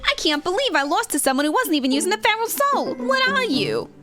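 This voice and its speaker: Woman putting on a very high, brash catgirl fighter. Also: huge pitch swings, brisk, music in the background, exaggerated voice. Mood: indignant, frustrated. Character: brash, catlike female rookie fighter, sore loser.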